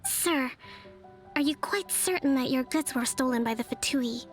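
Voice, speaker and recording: Kokomi, a woman voicing a calm young strategist. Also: high voice, natural voice. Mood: formal. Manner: measured, formal and articulate. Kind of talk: game voice acting. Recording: studio voice with soft game music.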